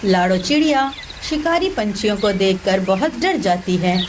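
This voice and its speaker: A woman reads scripted narration for a children's story as its storyteller. Clear noise and ambience run behind her voice. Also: medium pitch, lively intonation, unhurried.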